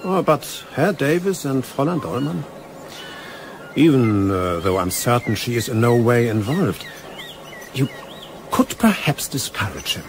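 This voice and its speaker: A man's voice: mid-range voice, big pitch swings, measured pace, long pauses. Male actor as German commander, polite menace, German accent, swooping intonation, radio drama, faint noise behind. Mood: veiled warning. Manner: courteous, insinuating, hesitant phrasing. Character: Von Brüning, suave German naval commander, slyly menacing. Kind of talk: radio play acting.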